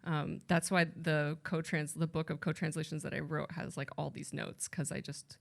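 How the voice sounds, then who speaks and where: A woman's voice: low voice, flat delivery, moderate pace, vocal fry. A woman translator speaking on a panel at a library event, thoughtful and rambling, often correcting herself mid-sentence. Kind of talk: casual panel talk.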